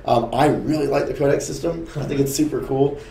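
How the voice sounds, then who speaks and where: Gravelly-voiced man in an echoey room. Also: medium pitch, steady tone, a little fast, dark tone, a little hiss or hum. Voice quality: gravelly, injured voice. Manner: candid, rambling with restarts. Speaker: game developer co-host. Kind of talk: casual dev stream chat.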